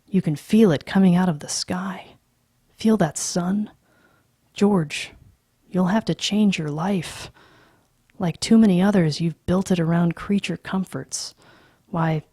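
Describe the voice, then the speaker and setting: A woman reading aloud, voicing a middle-aged male psychologist who gives calm, frank advice. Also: fairly low pitch, slightly rough, no room sound, clean studio sound. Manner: measured, frank advising.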